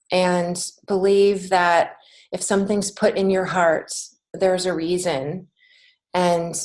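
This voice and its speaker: An American woman giving conversational interview answers as a podcast guest, speaking in an earnest, encouraging way. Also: on the low side. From United States. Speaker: podcast guest, children's book author and teacher.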